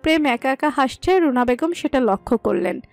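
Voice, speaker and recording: A woman's voice: high voice, animated, moderate pace, a little mellow, no echo. A woman reading scripted narration of a romantic story as its storyteller. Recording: music under the voice.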